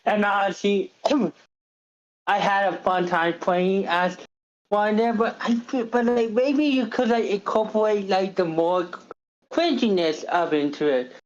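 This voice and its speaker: A woman of average pitch speaks slowly and haltingly, with enthusiasm, in a casual feedback chat. The sound is dull and lo-fi, like an online meeting. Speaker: table read participant giving feedback.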